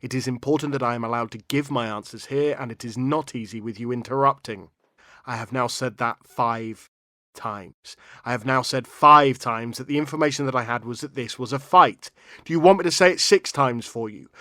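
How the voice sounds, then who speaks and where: A man's voice: medium pitch, moderate pace, pushed and bright, no room sound, studio quality, close to an everyday voice. A man playing a defensive, formal witness under questioning, clipped and insistent, in a courtroom drama. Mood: firm, irritated.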